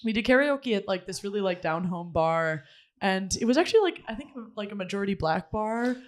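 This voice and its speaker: A woman co-hosting a podcast who has a low voice and tells rambling stories in casual chat, with many fillers. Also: expressive, fairly quick. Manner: chatty storyteller, rambling with fillers. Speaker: podcast co-host.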